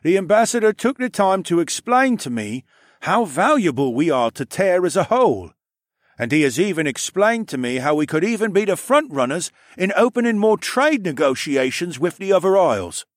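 A man voicing a placid, commanding male lizard-man captain who speaks with calm authority. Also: sits high, normal speed, no echo, clean studio sound, voice pushed up.

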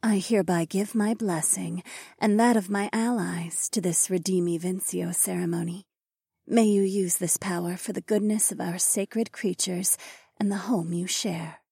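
A woman voicing a serene, benevolent wolf goddess in a fantasy audiobook, soft, formal, breathy and sultry. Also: fairly low pitch, fairly even intonation, no echo, clean studio sound. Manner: slow, formal, soft blessing.

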